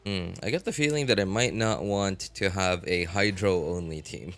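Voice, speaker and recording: A man's voice: deep voice, moderate pace, a bit bright. A man doing casual gameplay commentary on a livestream, musing aloud and chatting informally to viewers. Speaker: game livestreamer. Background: game music.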